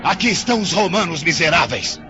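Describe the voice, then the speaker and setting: A fierce, scornful rebel, played by a man in an audio drama, barking orders in a fairly high voice. Loud music plays behind him and the sound is a bit dull. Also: expressive, a little fast, close to an everyday voice. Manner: shouting orders. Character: hostile Judean rebel fighter, fierce, contemptuous male.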